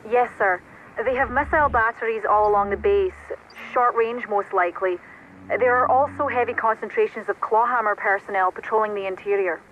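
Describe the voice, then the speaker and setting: A woman's voice: medium pitch, fairly quick, lightly acted. Woman as composed intelligence officer, dull muffled sound. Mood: businesslike. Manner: formal, precise, informative. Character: composed female military intelligence officer, Oracle. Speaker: intelligence officer briefing a commander. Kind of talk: cinematic military game acting. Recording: dull band-limited radio-like sound, faint noise.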